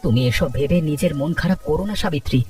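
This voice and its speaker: A man as quick-talking poor rickshaw puller. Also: fairly high pitch, a little mellow, far from the mic, soft background music, natural voice. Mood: worried, caring. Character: poor, caring, worried village rickshaw-puller husband. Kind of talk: Bengali cartoon story dialogue.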